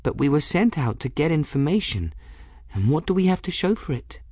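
Guy doing a plucky practical girl in a storybook, higher voice, earnest and a bit formal. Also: big pitch swings, a little fast, darkish tone, dry recording, studio quality. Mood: determined. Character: brave, firm, practical young girl explorer.

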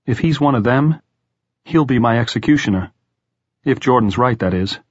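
A man's voice: medium pitch, animated, moderate pace, slightly rough, no room sound, muffled recording. A man reading an audiobook, voicing a thoughtful, wry grown man. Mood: calm.

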